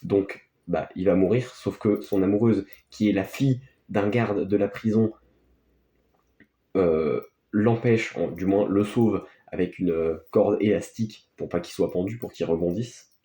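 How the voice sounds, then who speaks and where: A man's voice: deep voice, a little slow, warm tone. A man gives casual, unscripted film commentary as a video host, in a hesitant, rambling, offhand way. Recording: echoey, roomy sound.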